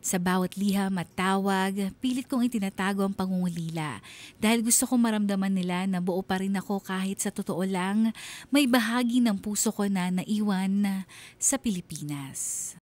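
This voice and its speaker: A woman's voice: medium pitch, moderate pace, darkish tone, close to an everyday voice. A woman playing a homesick overseas working mother, narrating her story earnestly and sentimentally in a radio drama. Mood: sorrowful longing. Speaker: narrator reading a listener's letter in the first person.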